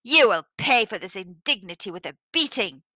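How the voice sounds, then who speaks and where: A woman voicing a greedy, hot-tempered male Mongol tyrant in a storybook, with loud, commanding delivery. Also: medium pitch, a little slow, no room sound. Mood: furious, scornful. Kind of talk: storybook character voice.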